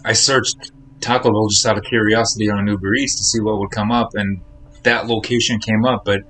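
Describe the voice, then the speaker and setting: Low-voiced man chatting on a game stream. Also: level tone, brisk, fairly bright tone, a little hiss or hum. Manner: rambling, chatty. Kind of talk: casual chat with viewers.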